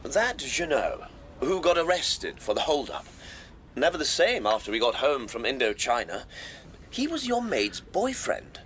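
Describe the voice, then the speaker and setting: A man's voice: mid-range voice, very animated, measured pace, fairly bright tone. An actor in a radio drama playing a gossiping townsman with a knowing, insinuating manner. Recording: noisy background ambience behind voice.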